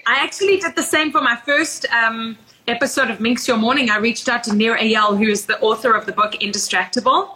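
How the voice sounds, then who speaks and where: A woman's voice: fairly high pitch, brisk, pushed and bright, slight background noise, poor audio. A woman with a South African accent, hosting a casual podcast chat and telling stories with enthusiasm. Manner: enthusiastic, chatty storytelling. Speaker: podcast host.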